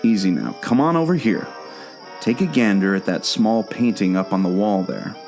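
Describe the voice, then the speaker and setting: A man's voice: lowish voice, lively intonation, normal speed, a little mellow, soft background music. A man playing an eager, knowing explorer guide in a scripted audio drama, talking like an earnest storyteller. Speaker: co-host of a theme park podcast.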